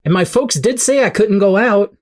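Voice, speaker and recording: A man's voice: sits high, moderate pace. A young man playing a polite, reluctant, down-to-earth teenage boy in audio drama dialogue.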